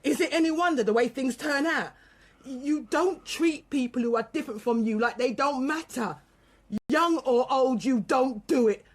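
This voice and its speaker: A woman's voice: high-pitched, moderate pace. An actress playing an angry, grieving mother under police questioning, defiant and colloquial, in a naturalistic radio drama. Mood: defiant, ranting.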